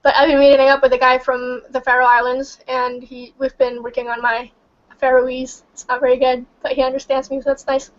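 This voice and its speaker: A young woman from Indonesia, whose first language is Indonesian, talks casually in a high voice. She keeps dropping "like" and "um" as she chats. The recording is dull and lo-fi. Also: little pitch movement, fairly quick. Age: young adult. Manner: chatty, many fillers like "like" and "um". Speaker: study group member. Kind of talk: casual chat.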